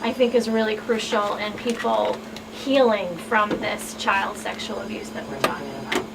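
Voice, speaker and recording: A woman's voice: medium pitch, normal speed. A woman on a talk show panel explaining things earnestly, as someone who works in victim support. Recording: distant mic, clear background noise.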